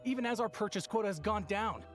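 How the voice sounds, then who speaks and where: A woman's voice: medium pitch, fast, soft background music, natural voice. An actress playing an aggrieved, desperate townswoman in a video game, indignant and pleading. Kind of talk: video game character dialogue.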